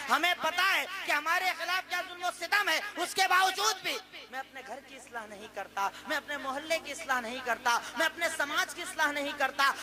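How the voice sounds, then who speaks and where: High-voiced impassioned male preacher. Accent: Indian Urdu. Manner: impassioned, rising to exhortation with repeated rhetorical questions. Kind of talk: Islamic sermon in Urdu-Hindi.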